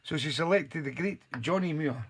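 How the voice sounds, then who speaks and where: A man hosting a live online soul radio show, his voice hoarse and gravelly after a night out, chatting and reeling off listeners' names. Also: on the high side, moderate pace, a little hiss or hum. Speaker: radio DJ and host. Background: soul music playing.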